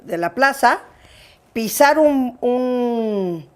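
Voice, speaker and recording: Lively Mexican woman hosting an interview. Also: fairly high pitch, extremely slow, clean tone. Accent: Mexican Spanish. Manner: lively, prompting, finishing the guest's sentences. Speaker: interview host. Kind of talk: casual interview chat.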